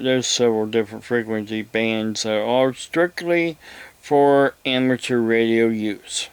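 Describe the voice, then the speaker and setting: A man giving a rambling, casual explanation to viewers, halting and repeating himself as he goes. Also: medium pitch, clear, resonant tone, no echo. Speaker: vlogger.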